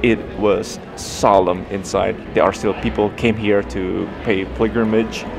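A man's voice: fairly low pitch, little pitch movement, measured pace, vocal fry, music in the background. A young man hosting a casual travel vlog outdoors, talking enthusiastically about what he sees. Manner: enthusiastic, marvelling. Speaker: travel vlogger host. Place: outdoors at a mountain monastery.

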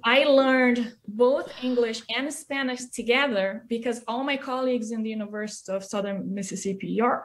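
A woman in her thirties with a Brazilian accent speaks as a guest on a video call. Her voice sits at a middle pitch. She tells personal stories in a conversational way. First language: Portuguese. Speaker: interview guest, music educator. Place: small indoor room, video call. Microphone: computer mic on video call.

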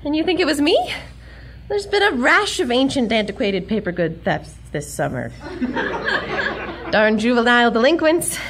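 Plucky, animated young American heroine. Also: female voice, fairly high pitch, a little slow, noisy background. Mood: defiant, wry. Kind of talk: audio drama acting.

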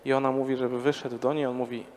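A man's voice: medium pitch, flat delivery, fast talker, darkish tone, slight background noise. A man in his thirties, a priest, preaching a parish sermon to a congregation in a conversational way, with rhetorical questions, on a handheld microphone. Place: large church before a congregation. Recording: long church reverb.

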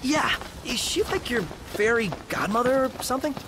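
High-voiced, chatty young sidekick. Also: male voice, expressive, moderate pace, noisy background. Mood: curious. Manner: casual, boyish, curious. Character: excitable, chatty young male sidekick in fantasy game.

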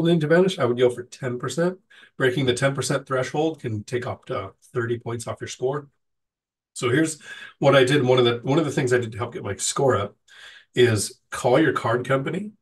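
A man's voice: mid-range voice, recorded from a distance, a little hiss or hum. A middle-aged man with a sick, nasal voice, casually answering live chat questions as host, with frequent ums and restarts.

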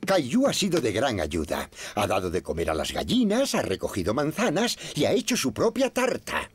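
Very animated, kindly older neighbour. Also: male voice, mid-range voice, moderate pace, slightly rough, no echo. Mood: kindly, encouraging. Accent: Castilian Spanish. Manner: gentle, encouraging. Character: kindly, patient older male farm-bred neighbour. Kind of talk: children's cartoon dubbing.

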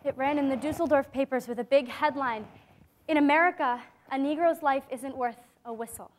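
A young woman in a staged reading, playing a gentle, hopeful teenage girl, with earnest, measured, articulate speech. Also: sits high, close to an everyday voice. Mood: earnest, thoughtful. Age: young adult. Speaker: actor in a dramatic reading. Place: theatre-like stage in a conference hall. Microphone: lapel.

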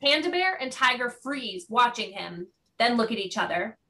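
A woman reads stage directions as a narrator. Her voice is on the high side and clearly bright, delivering scripted narration for a play. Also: sing-song, moderate pace.

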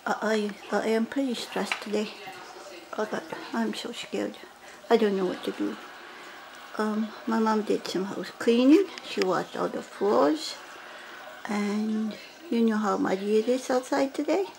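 A woman's voice: mid-range voice, measured pace, creaky, darkish tone, a little hiss or hum, rough recording. A woman voicing an anxious young male dog who talks in a whiny, childlike, pleading way. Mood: scared, pleading. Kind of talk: pet voice-over monologue.

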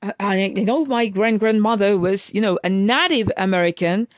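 A woman's voice: medium pitch, normal speed, no echo. A woman from Argentina rants to her viewers in a casual vlog monologue. She lectures with emphasis, stumbles and restarts often, and scatters fillers through her talk. Her voice sits in a middle register and is recorded close and bone dry. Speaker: vlogger.

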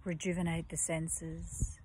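Slow, soft-spoken woman guiding relaxation. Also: lowish voice, level tone, noisy background. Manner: slow, soft and soothing, with long pauses. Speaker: yoga teacher. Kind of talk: guided yoga nidra relaxation.

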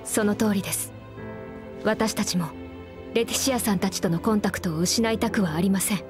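A woman's voice: medium pitch, fairly quick, lightly acted. A woman voicing a composed, courteous young woman in a game cutscene, asking questions in a formal, polite manner. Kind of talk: video game cutscene voice acting. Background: game soundtrack music.

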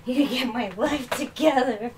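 A woman's voice: high voice, noisy background. Rambling self-mocking woman on livestream. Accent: Arkansas. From Arkansas. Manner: rambling, self-mocking, talks while moving things around. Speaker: livestreaming doll collector. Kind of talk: casual livestream chat. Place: small bedroom full of dolls. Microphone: webcam mic, often off-mic moving around.

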